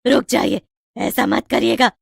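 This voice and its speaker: A woman's voice: a little high, fairly even intonation, unhurried, creaky, husky, no echo, studio quality, pitched above the performer's own voice, full character voice. A woman voicing a devoted yet vengeful young snake-witch daughter in a moral-story cartoon, with emphatic, dramatic delivery. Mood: urgent, soothing.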